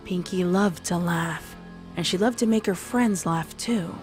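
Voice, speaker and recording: A woman's voice: on the low side, measured pace, faint music behind. A woman narrating a scripted horror fan fiction in a dramatic storytelling style.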